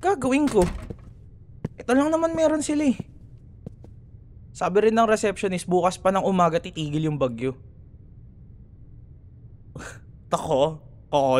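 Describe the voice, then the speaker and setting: A man's voice: fairly high pitch, moderate pace, long pauses, close to an everyday voice. A young man with a Filipino accent acting a cocky, grudging student rival in an ASMR roleplay, teasing and sarcastic in casual banter. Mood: smug, teasing. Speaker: voice actor.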